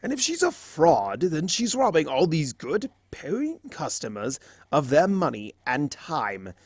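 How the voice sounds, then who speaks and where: A man's voice: fairly high pitch, huge pitch swings, a little slow, a little mellow, husky, no room sound, studio quality, voice pushed up, full character voice. A man voicing a mischievous, smug male chaos creature from a cartoon fan story, theatrical and mocking, drawing out his words. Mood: smug teasing.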